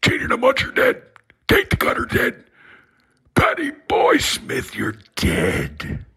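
A man cutting a creepy, slow, whispered wrestling promo with a raspy voice, taunting his rivals. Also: very high-pitched, huge pitch swings, sharp tone. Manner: slow, creepy, taunting, laughs between threats.

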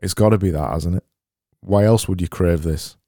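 Solo podcast host, man with a Barnsley accent, deep voice, deadpan mockery. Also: normal speed, no room sound, studio quality. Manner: sarcastic, deadpan self-mocking. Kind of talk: solo comedic podcast monologue.

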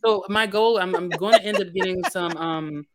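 A woman's voice: fairly low pitch, normal speed, heavy background noise. A woman from Philadelphia chatting as a podcast guest. She rambles, with many fillers and restarts. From Philadelphia, USA. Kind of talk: casual podcast chat.

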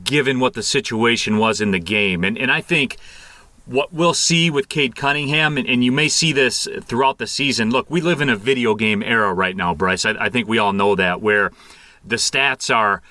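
A man giving animated, conversational sports analysis as a guest, repeating phrases for emphasis. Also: medium pitch, moderate pace, no echo.

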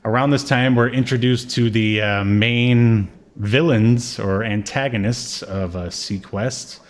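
A man's voice: mid-range voice, takes it slowly. A man talks as a podcast co-host in a loose, conversational recap style. His delivery rambles along in a joking, informal way, with a mid-range voice. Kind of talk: casual comedic recap chat about a TV show.

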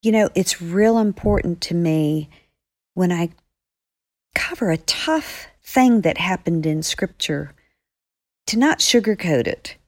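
A woman's voice: on the low side, lively intonation, slow, a little mellow, no echo, clean studio sound. A woman author speaking as a guest, explaining earnestly to young listeners.

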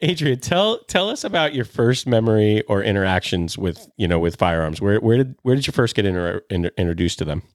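A man's voice: fairly low pitch, big pitch swings, fairly quick, few pauses, no room sound. A man co-hosting a podcast, dropping dry, joking asides. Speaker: podcast co-host. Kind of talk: casual podcast chat.

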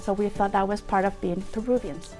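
A woman's voice: medium pitch, fairly even intonation, a little fast, warm tone, faint music behind. A woman in her fifties from Peru, a native Spanish speaker, recalling her life as an interview guest. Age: 50s. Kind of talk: personal recollection in an interview.

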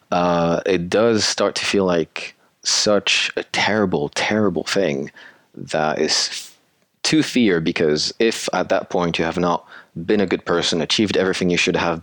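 A man's voice: sits low, no room sound. A man being interviewed about the audio drama he created. He talks casually and thoughtfully, rambling with fillers and restarts.

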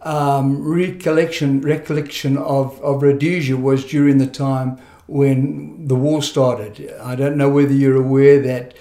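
A middle-aged man from Zimbabwe, telling his life story in a conversational interview, reflective and halting, with many fillers and restarts. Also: mid-range voice, flat delivery, mellow, echoey room. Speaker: guest.

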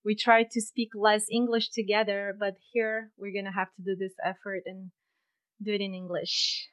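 A woman hosting a podcast interview in her home office, cheerful and quick to laugh. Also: mid-range voice, little pitch movement, low-quality recording. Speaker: podcast host.

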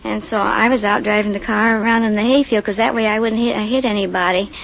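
A woman's voice: medium pitch, fairly even intonation, fast talker, creaky. An American woman from Illinois chatting as a quiz contestant, telling things in a plain, rambling way. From Rock Island, Illinois, USA. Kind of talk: casual chat answering a host. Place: radio studio before a live audience. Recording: muffled old-time radio, faint audience and hiss.

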